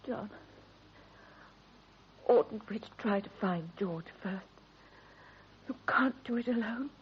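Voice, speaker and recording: Shrewd, anxious British wife played by a woman, earnest and deliberate, dull vintage radio sound with faint hiss. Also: mid-range voice, natural voice. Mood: frightened, urgent. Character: worried, shrewd, loyal wife, adult woman. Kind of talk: period British radio drama acting.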